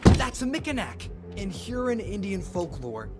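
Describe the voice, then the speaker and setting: Game's lore expert: bookish, keen, explanatory delivery, mid-pitched, heavy score behind. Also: female voice, very animated, a little slow. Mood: excited, explaining. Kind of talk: video game cutscene acting. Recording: loud music over the voice. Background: loud music.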